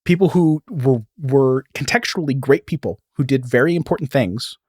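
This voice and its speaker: A man's voice: medium pitch, normal speed, darkish tone, breathy edge, no echo, studio quality. A man hosts a podcast in a mid-range voice with very little room sound. He explains history and film plots informally, like casual storytelling. He often wanders into asides before picking the thread back up. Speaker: podcast host.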